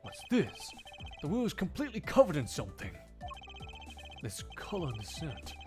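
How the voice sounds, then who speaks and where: A man puts on a stern male video game prosecutor, formal and pompous. His voice sits a little high and moves in animated sweeps, every phrase precise. Music is clearly audible behind him. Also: moderate pace. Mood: analytical, composed. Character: stern, proper, exasperated male prosecutor investigating a crime. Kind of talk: live game fandub.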